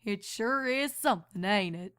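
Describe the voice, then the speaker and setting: A woman's voice: medium pitch, moderate pace, a bit bright, clear, resonant tone, lightly acted. A woman voicing a friendly, down-to-earth farm mare and big sister in a cartoon fan audio story. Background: music.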